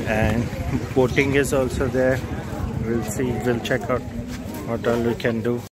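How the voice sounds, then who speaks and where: A man's voice: mid-range voice, flat delivery, a little slow. A man, filming a cheerful travel vlog on a phone held at arm's length by a lake. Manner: cheerful, chuckling, wry about hardship. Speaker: travel vlogger. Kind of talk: casual travel vlog narration. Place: outdoors at a lake, on a paddle boat. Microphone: phone selfie at arm's length. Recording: loud outdoor noise, boats and traffic. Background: loud outdoor ambience and vehicles.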